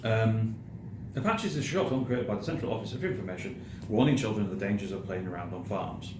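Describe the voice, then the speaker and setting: A British man hosting a film review show in casual chat, speaking haltingly and often repeating his words. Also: on the low side. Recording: roomy echo, clearly audible background noise.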